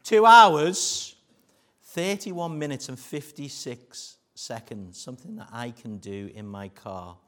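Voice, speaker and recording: A middle-aged man with a Liverpool accent preaching a sermon, mixing jokey asides with earnest warnings that sink to a hush. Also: mid-range voice, slow pace. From Liverpool, England.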